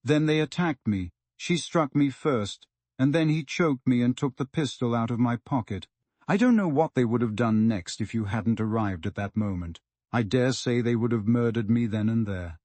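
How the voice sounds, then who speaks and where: A man's voice: medium pitch, normal speed, a little mellow, dry recording, close to an everyday voice. A man voicing a frightened, indignant man who protests and accuses melodramatically in a detective novel. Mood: aggrieved.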